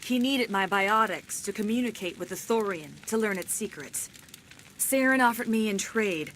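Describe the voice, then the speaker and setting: An actress playing an alien woman in a video game, speaking in formal, solemn, measured declarations. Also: medium pitch, fairly bright tone, slight background noise, natural voice, rough recording. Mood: solemn, regretful. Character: alien woman, cold imperious mouthpiece of an ancient plant, later freed and remorseful. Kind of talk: dramatic video game cutscene acting.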